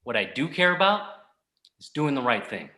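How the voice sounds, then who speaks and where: A bitter, vindictive office boss, acted by a man, with a bright, forceful edge and wide swings in pitch. He is curt and indignant in a comedy table read, recorded in an echoing room. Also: big pitch swings, normal speed. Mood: angry, menacing.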